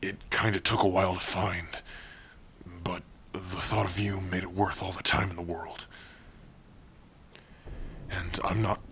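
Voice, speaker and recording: A man playing a big, bashful orc in love, speaking haltingly and intimately in an ASMR fantasy roleplay. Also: deep voice, a little hiss or hum, exaggerated voice. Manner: hesitant, halting, soft-spoken, intimate.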